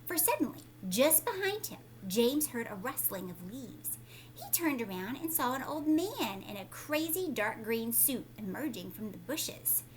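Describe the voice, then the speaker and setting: A woman's voice: a little high, big pitch swings, unhurried, a little hiss or hum, poor audio. A woman teacher reading a children's story aloud, speaking expressively and emphatically, with explanatory asides for young listeners.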